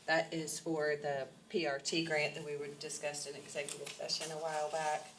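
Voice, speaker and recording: A woman walks a council through a county budget, measured and formal, pausing on ums. Her voice sits low and sounds stuffy from a head cold. The meeting-room recording carries a steady background noise. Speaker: county administrator presenting budget. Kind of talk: budget presentation at public workshop. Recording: noisy public meeting recording.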